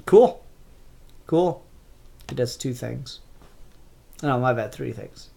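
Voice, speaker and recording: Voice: medium pitch, lively intonation, slow, gravelly, warm tone, slightly rough. A comic book reviewer with a stuffed-up, congested voice, talking through new issues in an offhand way with lots of ums and restarts. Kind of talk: casual spoken comic review.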